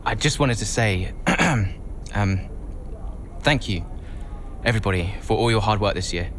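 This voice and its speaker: A man's voice: on the low side, normal speed, a little hiss or hum, natural voice. A man playing an uneasy racing driver. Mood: awkward. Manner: hesitant, awkward, forced. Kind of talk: game cutscene dialogue.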